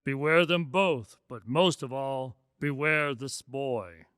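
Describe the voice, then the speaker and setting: A man playing a solemn spirit in a radio drama, giving grave warnings in a deliberate, declaiming way. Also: mid-range voice, a bit bright, clear, resonant tone, no room sound, studio quality. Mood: ominous warning. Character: solemn ghost of Christmas present, a warning spirit.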